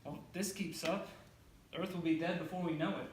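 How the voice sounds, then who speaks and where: Devoted, anxious soulmate played by a man, halting delivery, staged reading, heavy room echo and faint noise. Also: a little high, fairly even intonation, darkish tone, lightly acted. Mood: urgent, pleading. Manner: halting, stammering, repeats words. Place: indoor stage, recorded from a distance. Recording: echoey, noisy room from far off.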